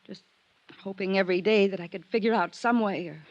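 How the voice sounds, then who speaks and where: An actress playing a sultry, world-weary drifter stranded abroad in a 1950s radio drama, earnest and pleading, with weary pauses. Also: female voice, mid-range voice, no room sound, lo-fi sound, lightly acted. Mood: weary, regretful. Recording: old radio drama recording.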